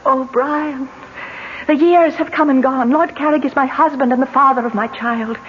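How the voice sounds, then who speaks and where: A torn, anguished lady played by an actress in a vintage radio drama. Her voice is high and breathlessly emotional. The recording is dull, with faint noise behind her. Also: fairly even intonation, moderate pace, close to an everyday voice. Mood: anguished, resigned. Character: anguished married lady, fearful and torn by old love. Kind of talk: old-time radio drama acting. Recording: dull, slightly noisy old radio recording.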